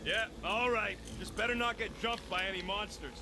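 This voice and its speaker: Man voicing a snarky high-pitched raccoon mercenary. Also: normal speed, close to an everyday voice. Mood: snide. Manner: sarcastic, streetwise. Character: snarky, scrappy male talking raccoon mercenary. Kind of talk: video game voice acting.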